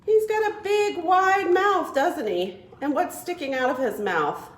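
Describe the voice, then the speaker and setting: A woman working as a children's librarian, hosting a read-aloud storytime in an animated, encouraging way with simple phrasing for small children. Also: very high voice, unhurried, roomy sound.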